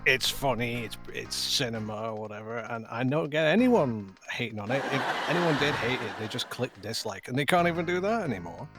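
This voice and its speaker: A man's voice: medium pitch, lively intonation, fairly quick, hardly any pauses. A man talking casually as an interview guest, with dry, self-deprecating humour. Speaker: guest voice actor. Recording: clear music under the voice.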